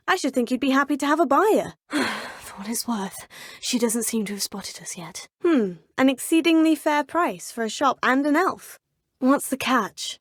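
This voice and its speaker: A woman's voice: high voice, no echo, studio quality. An actress voicing a young female student witch in a video game, speaking in a polite, proper way. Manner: polite, proper, crisp phrasing. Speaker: player character.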